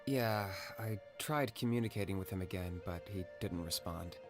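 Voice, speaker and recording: A stoic young hunter from a video game. His voice is fairly low, his delivery measured and thoughtful with a dry edge, and soft music plays behind him. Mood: reflective, sincere. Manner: measured, matter-of-fact.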